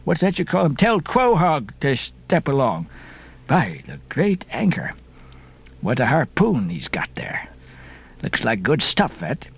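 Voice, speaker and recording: A man voicing a gruff, blustery old sea captain in an audiobook, hearty and exclamatory. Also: mid-range voice, huge pitch swings, unhurried, heavily acted. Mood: excited, hearty.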